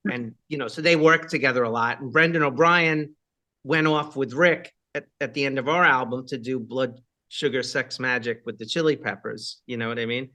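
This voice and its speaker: A rock singer with a high voice, chatting casually in an interview and rambling with many fillers and asides. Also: male voice, normal speed, sharp tone.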